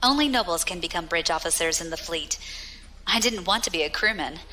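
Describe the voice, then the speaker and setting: A woman's voice: lowish voice, expressive, fairly quick, bright, forceful tone, slight background noise. An actress playing a battle-hardened, proud young female pirate captain with a wry storytelling manner in a science fiction audio drama. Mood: bitter, resolute.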